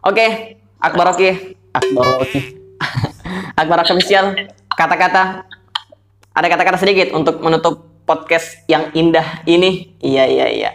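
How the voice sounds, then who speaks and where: A young man from Indonesia with a slightly hoarse voice, hosting a casual podcast call in a laid-back, chatty way. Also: a little high, normal speed, a bit bright. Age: young adult. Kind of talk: casual vlog-style phone interview. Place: small room, indoors.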